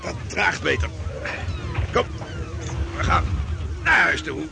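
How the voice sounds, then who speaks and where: A man's voice: a little high, wildly swooping pitch, slow pace, gravelly, heavily acted. An actor playing a sceptical, dismissive working townsman in a period radio drama. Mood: weary, firm. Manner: dismissive, no-nonsense. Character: gruff, sceptical working husband in old Amsterdam. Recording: noisy outdoor street scene with crowd.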